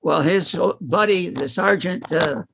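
A man casually telling anecdotes in a group discussion, piecing them together haltingly. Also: a little high. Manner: halting, piecemeal storytelling. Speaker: book club member. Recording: clearly noisy recording with clicks.

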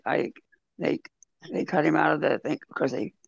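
Halting man with very gravelly, husky voice. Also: on the high side, steady tone, no echo. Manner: halting, repeats words. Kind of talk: casual group discussion. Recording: dull, lo-fi audio.

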